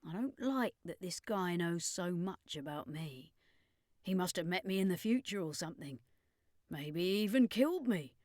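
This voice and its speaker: A woman's voice: on the low side, lively intonation, moderate pace, darkish tone, no room sound, close to an everyday voice. A woman as streetwise vampire woman, blunt, wary, colloquial, audiobook, dry sound. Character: brash, streetwise, suspicious female vampire, protective of children.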